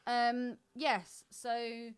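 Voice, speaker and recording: A woman's voice: fairly high pitch, monotone, very slow, clear, resonant tone. A British woman co-hosting a podcast, chatting casually and expressively about films in an informal, sweary way. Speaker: podcast co-host. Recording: music playing behind the voice. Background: music.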